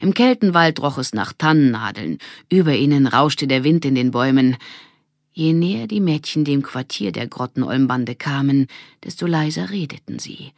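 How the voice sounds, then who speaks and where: A woman narrating a children's audiobook in an expressive storytelling manner. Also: rumbling low, normal speed, creaky, dry recording, clean studio sound.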